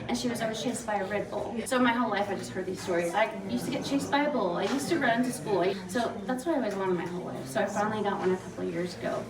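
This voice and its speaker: Woman rancher, chatty guest speaker; echoey room, crowd noise behind. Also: a little high. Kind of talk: casual talk to an audience. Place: expo hall. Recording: roomy hall from far off with crowd.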